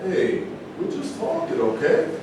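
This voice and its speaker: In a very echoey, noisy room, a man plays a tough, self-made male boxing champ in a stage reading. He is blunt and combative, with lively swings in pitch. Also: a little slow, exaggerated voice. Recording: echoey room, distant mic, noisy rumble.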